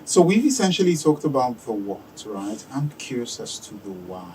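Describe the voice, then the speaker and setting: A man's voice: medium pitch, sing-song, normal speed, a little mellow, far from the mic, a little hiss or hum. A man hosting a radio interview, asking curious, chatty questions. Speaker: radio show host. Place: radio studio.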